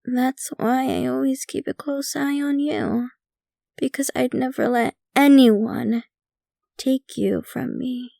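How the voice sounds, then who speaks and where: A woman playing a possessive, centuries-old vampire girlfriend in an intimate ASMR roleplay, speaking in an unhurried, doting yet casually sinister way. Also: sits high, fairly even intonation, gravelly, warm tone, no echo, clean studio sound, full character voice. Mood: possessive, threatening. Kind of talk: ASMR roleplay, intimate scripted monologue to the listener.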